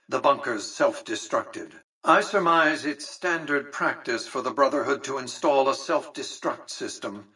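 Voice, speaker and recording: An actor playing a cold, calculating, arrogant old tycoon in a video game, speaking with formal, condescending precision. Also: male voice, mid-range voice, pushed and bright, slightly rough, far from the mic, rough recording. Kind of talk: scripted video game character dialogue.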